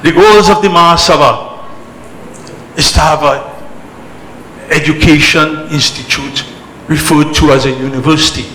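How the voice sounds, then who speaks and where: A man from Trinidad who spent his early life in England, giving an emphatic, deliberate public speech to a community gathering in short, repeated phrases. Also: on the high side, sharp tone. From born in Trinidad, spent early life in England. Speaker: religious organisation leader giving a speech. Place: temple celebration, amplified to an audience. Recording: echoey hall PA, noisy background.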